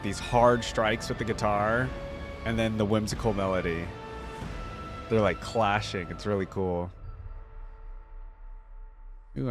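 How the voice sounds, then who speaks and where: Male reaction creator, lowish voice, casual and enthusiastic, thinks out loud over soft background music. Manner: enthusiastic, conversational, thinking aloud.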